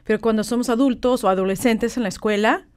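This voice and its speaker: A woman from California, a non-native speaker with English as her first language, explaining in a measured way as a guest on a morning radio interview, with frequent filler words. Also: fairly high pitch, smooth. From California, United States. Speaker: guest, polyglot author.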